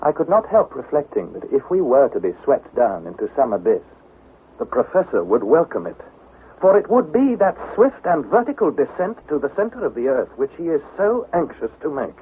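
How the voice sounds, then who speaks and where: An actor playing an earnest young explorer, narrating his expedition in measured, dramatic vintage radio style. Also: mid-range voice, big pitch swings, close to an everyday voice. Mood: uneasy. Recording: tinny, hissy vintage radio broadcast.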